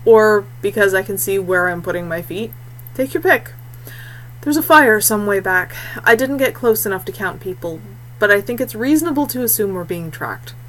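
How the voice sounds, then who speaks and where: A woman voicing a quiet, self-possessed young male scout in an audiobook, understated and matter-of-fact. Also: medium pitch, expressive, normal speed, low-quality recording. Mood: calm, dry.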